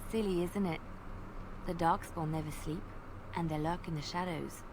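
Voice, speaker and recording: A woman playing a gentle, bashful bard adventurer in a fantasy video game, earnest, hesitant and playful. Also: lowish voice, steady tone, moderate pace, natural voice. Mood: tender, reflective. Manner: soft-hearted, hesitant, earnest. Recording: clearly noisy game playback.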